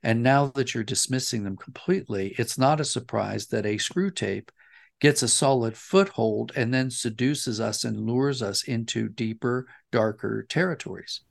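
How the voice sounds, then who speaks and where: Earnest male guest with creaky voice. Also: mid-range voice, normal speed. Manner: earnest, emphatic, explanatory. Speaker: interview guest, audio dramatist. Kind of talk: reflective interview talk.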